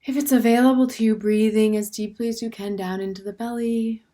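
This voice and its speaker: Gentle woman guiding a meditation. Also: on the high side, steady tone. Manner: gentle, unhurried, permissive phrasing with pauses. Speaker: meditation teacher. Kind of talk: guided standing meditation.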